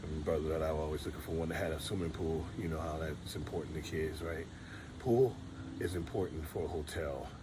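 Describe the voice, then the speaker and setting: A middle-aged man speaks in a very low, deep register. He talks in a relaxed, rambling vlog style, stopping to restart his sentences as he remembers. A faint ambient hum sits behind him. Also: brisk. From Detroit, USA. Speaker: vlogger. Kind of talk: casual storytelling monologue.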